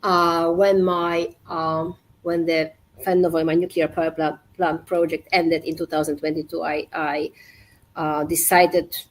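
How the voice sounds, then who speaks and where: A woman in her forties from Poland, with a slightly low voice. She is a guest in a casual interview and picks her words with care in a measured, searching way. She speaks over a video call. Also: flat delivery, rough recording. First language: Polish.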